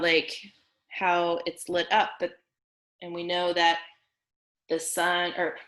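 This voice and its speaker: A woman reading a picture book aloud as a teacher on a video call, pausing to explain words simply for children. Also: fairly low pitch, a bit bright. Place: online video meeting with screen sharing.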